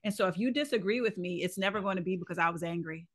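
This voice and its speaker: A woman's voice: fairly low pitch, rapid-fire, fairly bright tone. A woman co-hosting a podcast, talking earnestly and emphatically in a reflective conversational monologue, often posing rhetorical questions. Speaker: podcast co-host.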